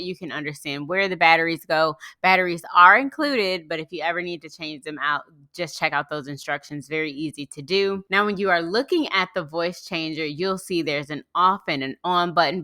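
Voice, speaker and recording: A woman's voice: fairly low pitch, bright, forceful tone, no echo. A woman giving an upbeat, encouraging product review in a casual, conversational way.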